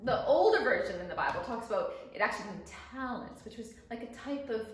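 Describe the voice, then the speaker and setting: Female children's teacher, expressive and emphatic, lively high voice, very reverberant space. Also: fast. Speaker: guest storyteller and children's teacher. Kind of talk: explanatory chat for children. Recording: very echoey room.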